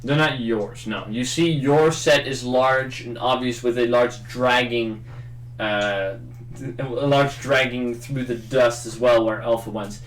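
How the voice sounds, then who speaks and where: A man's voice: mid-range voice, fairly even intonation, unhurried, a bit bright, slight background noise. Man game master in a roomy space. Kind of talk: improvised narration of a tabletop roleplaying game. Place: indoor room, group game livestream. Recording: roomy, echoing room sound.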